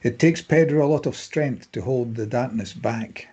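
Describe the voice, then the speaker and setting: A man reads scripted stage directions in measured, clipped phrases. His voice is dark and mellow with a husky grain, at an average male pitch. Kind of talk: scripted narration of screenplay directions.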